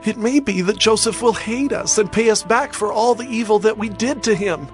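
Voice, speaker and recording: A man's voice: high voice, moderate pace, faint music behind, natural voice. A man playing an anxious, guilty brother who fears revenge in a dramatized scripture reading. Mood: worried.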